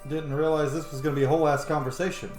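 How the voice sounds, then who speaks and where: A man's voice: fairly high pitch, flat delivery, rapid-fire, reverberant, over music. A man giving casual, reactive commentary while playing through a game, chatty and informal. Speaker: gamer, channel host.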